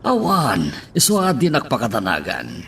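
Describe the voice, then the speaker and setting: A man's voice: medium pitch, wildly swooping pitch, normal speed, creaky, a bit bright, distant mic, a little hiss or hum, heavily acted. An actor playing a commanding, wealthy man, shaken but controlled, in an Ilocano radio drama. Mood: serious.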